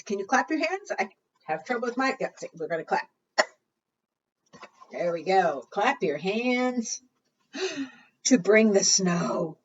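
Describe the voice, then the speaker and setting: A woman's voice: fairly high pitch, slight background noise. A woman librarian leading an interactive preschool storytime, talking to small children with coaxing questions and singsong refrains. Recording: muffled, distant microphone.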